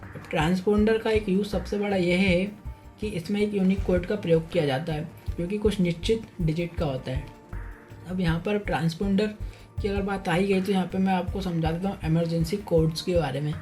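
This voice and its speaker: A man's voice: high-pitched, fairly even intonation, a little fast, darkish tone. A man presenting an informal educational explainer, talking through points repetitively and addressing listeners as friends. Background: faint music.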